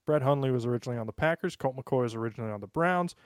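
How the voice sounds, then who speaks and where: A man's voice: medium pitch, racing, no room sound, clean studio sound. An American man co-hosting a podcast in casual, joking chat, listing off sports names. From United States. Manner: joking, rattles off sports lists. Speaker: podcast co-host.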